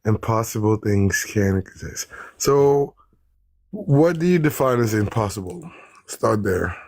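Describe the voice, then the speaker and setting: A man's voice: fairly low pitch, expressive, a little slow, darkish tone, no room sound. A man in his twenties calling in to a debate stream, polite and easygoing. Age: 20s. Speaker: caller. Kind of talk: casual call-in chat.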